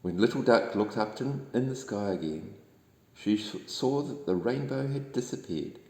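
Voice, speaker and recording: A man reads a children's bedtime story in a gentle, expressive way. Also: on the low side, normal speed. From New Zealand. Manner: gentle, expressive, addressing young listeners. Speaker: storybook reader. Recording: echoey room with long reverb.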